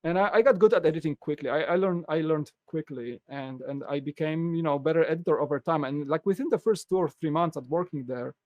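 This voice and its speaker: A man from Poland with a Polish accent, a guest in a casual podcast interview, telling stories in a lively way. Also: a little high, quick pace, few pauses, dry recording, lo-fi sound. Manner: animated storytelling, acts out quotes.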